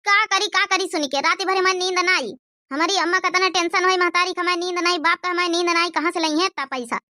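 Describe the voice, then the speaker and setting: A woman's voice: very high voice, steady tone, fast, pushed and bright, dry recording, clean studio sound. A woman voicing an anxious, devoted young wife in a cartoon comedy, plaintive and fretful, with an Awadhi accent.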